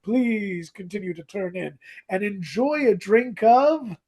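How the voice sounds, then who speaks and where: A man's voice: high voice, slow, darkish tone, breathy edge, full character voice. A man playing a pompous, theatrical announcer of a 1940s radio suspense show. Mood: ominous, grand.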